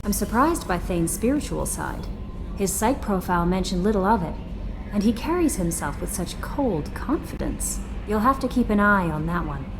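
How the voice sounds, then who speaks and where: A woman's voice: medium pitch, expressive, normal speed, close to an everyday voice, low-quality recording. Woman as an efficient ship's assistant over music. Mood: helpful, observant. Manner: polite, professional. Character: courteous female ship's assistant, attentive, efficient. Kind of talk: video game character acting.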